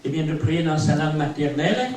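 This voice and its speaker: Older man, native English speaker, preaching, slightly high voice, rambling asides, distant mic in a very echoey hall, faint hiss. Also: animated, brisk, darkish tone. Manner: rambling, peppered with "eh bien" and "hein". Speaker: visiting preacher. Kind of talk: sermon.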